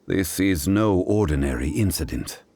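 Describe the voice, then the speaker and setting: An actor playing a composed, dignified, learned gentleman in a video game, speaking in a measured, formal, calm way. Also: low voice, breathy edge, no room sound, full character voice. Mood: grave, deliberate.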